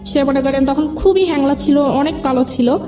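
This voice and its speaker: Bangladeshi female guest, highish voice, recounting personal story; dull lo-fi audio over music. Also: brisk. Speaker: guest telling own life story. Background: background music.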